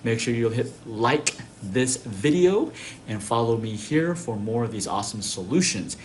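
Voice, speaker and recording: A man's voice: medium pitch, expressive, normal speed, slight background noise. A man from Taiwan who works as an acupuncturist, giving casual, conversational health tips and often checking in with short tag questions. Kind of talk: casual instructional talk to camera.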